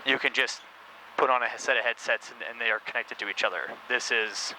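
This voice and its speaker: A man's voice: mid-range voice, a little fast, low-quality recording. A man talking casually and informally as he explains and reviews gear for a car vlog. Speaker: host of a car-build video channel. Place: inside a loud race car cabin. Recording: steady vehicle noise behind voice.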